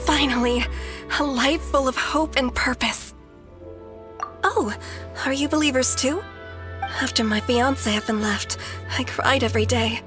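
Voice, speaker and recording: A lovesick young woman with a high voice, earnest and wistful, who clings to hope. Game music plays behind her. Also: steady tone, a little slow, long pauses. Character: lovesick hopeful young woman, devoted believer. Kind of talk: video game voice acting.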